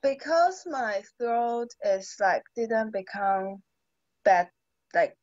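Teen student, female; halting, simple phrasing, muffled laptop-mic sound. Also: fairly high pitch, animated, a little mellow, dry recording. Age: teens. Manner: halting, searching for words, simple grammar. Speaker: high school English student. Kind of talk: conversation practice in a lesson. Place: small indoor room at home. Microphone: laptop webcam on video call.

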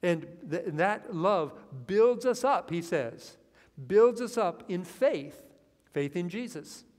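A middle-aged man preaches from a church pulpit with a somewhat high voice. He talks earnestly and builds into excitement over scripture. A long reverb hangs in the sanctuary around him. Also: slow. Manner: earnest preaching that swells with excitement, lines read from the Bible. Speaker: pastor. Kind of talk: sermon.

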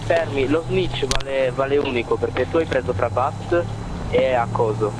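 A man's voice: medium pitch, normal speed. A man talking casually in an online game voice chat. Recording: noisy gaming voice chat.

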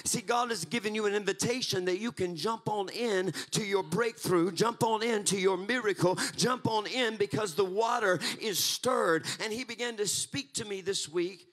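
Fervent high-voiced preacher. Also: male voice, moderate pace, hardly any pauses. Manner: fervent, rises to shouts, paces the stage. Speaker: pastor. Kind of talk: energetic Pentecostal sermon. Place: church stage, mid-sized hall. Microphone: handheld mic.

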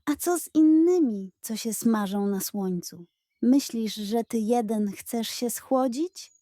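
A woman's voice: fairly high pitch, slow pace, warm tone, dry recording, studio quality. A woman voicing an indignant, bleating female goat who scolds, in a children's audio fairy tale. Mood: scolding.

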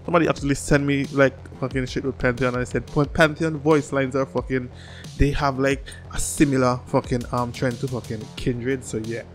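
A man in his thirties hosting a casual reaction video, talking in an animated, slangy way with constant swearing and repeated words. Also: mid-range voice, brisk, faint music behind. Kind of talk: casual excited reaction commentary. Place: small furnished room at home. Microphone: close desk microphone on boom arm.

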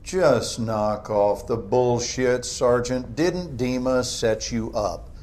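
A man's voice: mid-range voice, slow, darkish tone, slight background noise, lightly acted. An American man playing a stern, dismissive government interrogator in a game cutscene. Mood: impatient, pressing. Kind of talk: dramatic game cutscene acting.

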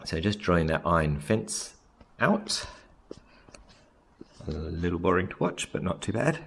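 A man casually narrating a software screen recording, thinking aloud with frequent ums and self-corrections. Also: low voice, unhurried, gravelly, slight background noise. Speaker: software tutorial presenter.

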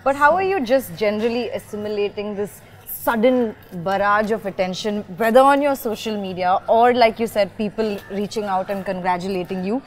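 A woman's voice: mid-range voice, moderate pace. A woman interviewer walking a city street, asking long, chatty questions and laughing easily. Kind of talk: casual interview on a street walk.

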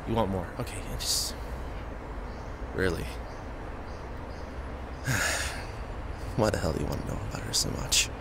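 A low-voiced young man plays a reluctant, tearful musician in an audio roleplay, halting and sighing as he opens up. His slightly deep voice sits over clear outdoor street noise. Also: expressive. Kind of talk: first-person audio roleplay.

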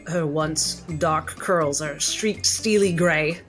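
A woman playing a tabletop game, giving a measured, descriptive account of a character. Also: sits low, fairly bright tone, faint music behind.

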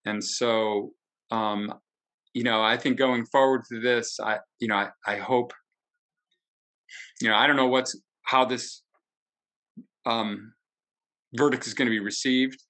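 A middle-aged man speaking earnestly and reflectively in a group discussion, haltingly, searching for his words. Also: medium pitch, fairly even intonation, bright, forceful tone. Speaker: book discussion participant. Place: indoor room, video call. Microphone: computer mic on video call.

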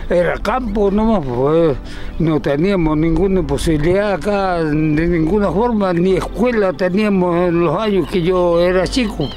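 A man's voice: sits high, normal speed, a little mellow. An elderly man with a rural southern Chilean accent, an island resident answering interview questions casually. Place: outdoors on a rural dirt road. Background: faint music.

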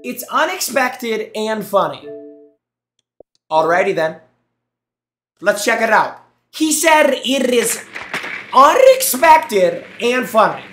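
A man's voice: sits high, animated, takes it slowly, pauses often, a bit bright, roomy sound. A young man streaming on a headset mic in a small room, reacting to gameplay clips with teasing jokes and thanking his chat. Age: young adult. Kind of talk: casual live-stream commentary.

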